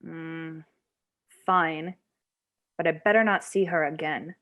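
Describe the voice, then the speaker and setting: A woman's voice: low voice, steady tone, slow pace, a bit bright. A woman playing a haughty, jealous teenage snowboarder in a screenplay table read, with a smug, clipped delivery. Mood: icy.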